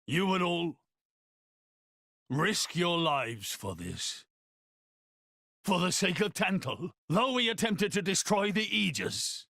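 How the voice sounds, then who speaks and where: Grave elderly king for a fantasy game: formal, dry recording, creaky voice that swings in pitch. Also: big pitch swings, takes it slowly, pauses often, pushed and bright, no echo, heavily acted. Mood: grave disbelief. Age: older. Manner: solemn, formal. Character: grave, weary older king.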